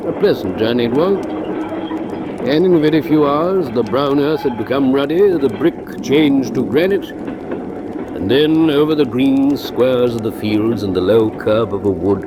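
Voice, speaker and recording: An actor playing an observant, gentlemanly doctor who narrates a radio mystery in a measured, literary, reflective way. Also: male voice, mid-range voice, lively intonation, background noise, natural voice. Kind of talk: radio drama narration and dialogue.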